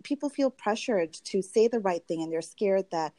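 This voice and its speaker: A woman's voice: mid-range voice, animated, moderate pace, dark tone, dry recording, clean studio sound. A young woman from Tanzania, a guest in an interview, talking through her own experiences in a friendly, reflective way with many fillers. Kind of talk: candid personal interview.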